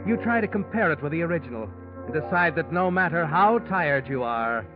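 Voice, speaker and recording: An actor playing a sinister, all-knowing narrator of a 1940s radio mystery, taunting the guilty man in a deliberate, dramatic way. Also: fairly high pitch, lively intonation, lightly acted. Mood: ominous, pressing. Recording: muffled old radio recording with loud music.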